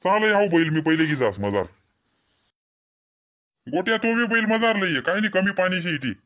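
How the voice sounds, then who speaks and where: A man's voice: sits high, very animated, moderate pace, fairly bright tone. A man voicing a proud, practical farmer father in a comedy cartoon, plain-spoken and directing, with an Ahirani accent.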